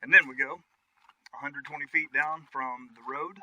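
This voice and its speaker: A man's voice: on the high side, steady tone, a little slow, low-quality recording. A man giving a casual, folksy, conversational tour of his property outdoors, as a vlog host. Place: outdoors on wooded rural land. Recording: music behind the voice.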